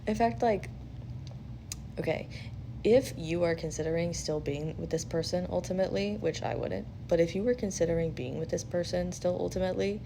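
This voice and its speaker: A woman's voice: lowish voice, brisk, gravelly, background noise. A woman host giving a casual spiritual reading in a blunt, sweary, conversational way, animatedly acting out quotes as she goes. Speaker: tarot-style spiritual reader and host. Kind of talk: casual intuitive reading, chatty advice.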